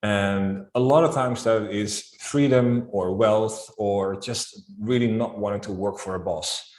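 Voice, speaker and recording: A man with a Dutch accent, a guest accounting expert, explaining his views in a calm, structured way. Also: on the low side, a little slow, echoey room. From Netherlands. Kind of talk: interview answers.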